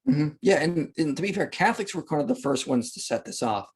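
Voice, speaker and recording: Husky-voiced man explaining things conversationally. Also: a little high, expressive, fairly quick. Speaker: podcast guest. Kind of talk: conversational explanation of history and religion.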